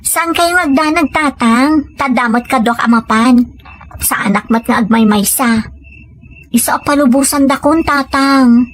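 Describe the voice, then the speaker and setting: A woman's voice: high voice, unhurried, a little hiss or hum. A woman with an Ilocano accent playing a determined, loving young daughter in an audio drama. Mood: pleading, reassuring. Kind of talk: audio comedy-drama acting.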